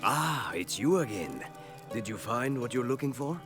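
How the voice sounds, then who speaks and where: A man playing a kindly, reflective villager in a video game cutscene, recounting things with quiet concern. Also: medium pitch, expressive, normal speed, natural voice. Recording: music behind game dialogue.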